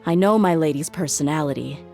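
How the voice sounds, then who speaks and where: A woman playing a loyal, straight-talking bodyguard in a video game, reasoning things out in a measured, candid way. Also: sits low, gravelly, warm tone. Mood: resolute, loyal. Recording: music behind the voice. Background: background music.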